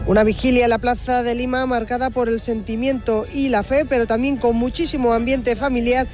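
A female field reporter reading a news report in a measured way. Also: fairly high pitch, steady tone, music in the background.

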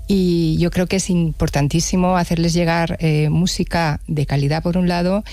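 Woman musician, interview guest, thoughtful and unhurried, dry close sound. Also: fairly low pitch, fairly even intonation, mellow, dry recording. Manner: thoughtful, reflective, with hesitations. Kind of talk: conversational interview.

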